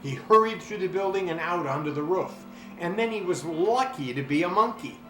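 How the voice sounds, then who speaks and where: A man reading a children's storybook aloud with expressive, dramatic delivery and drawn-out words. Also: high-pitched, soft background music. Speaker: story reader. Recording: roomy echo, clear background noise.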